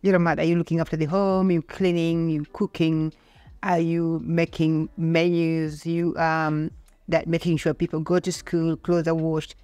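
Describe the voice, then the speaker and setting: A woman's voice: low-pitched, flat delivery, a little slow, warm tone, clear, resonant tone, no room sound. Kenyan woman chatting, dry close sound. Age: middle-aged. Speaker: podcast guest. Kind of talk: conversational interview, telling own life story.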